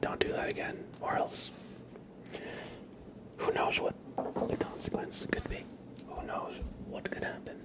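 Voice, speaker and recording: A man hosting an ASMR livestream, speaking in soft whispers with a gentle, playful manner. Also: a little slow, long pauses. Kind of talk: ASMR, whispered roleplay and chat with viewers.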